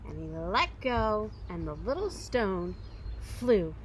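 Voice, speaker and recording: A woman reading a children's story aloud expressively, with dramatic emphasis, pauses and occasional hushed phrasing. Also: medium pitch, very animated, slight background noise. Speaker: storybook reader.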